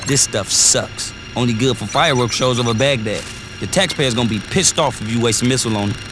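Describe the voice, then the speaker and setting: A man's voice: mid-range voice, brisk, loud music behind the voice, lightly acted. A man playing a wisecracking military briefer. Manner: brash, joking, slangy. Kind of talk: video game cutscene briefing.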